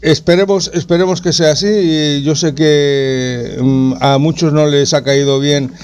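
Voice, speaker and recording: Spanish guy from barcelona on football radio, kinda high voice, lots of umms and restarts. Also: steady tone, unhurried, a little mellow, clear, resonant tone. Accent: Spanish from Spain. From Catalonia, Spain. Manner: hesitant, many fillers and restarts. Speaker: radio sports show host. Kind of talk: casual radio talk.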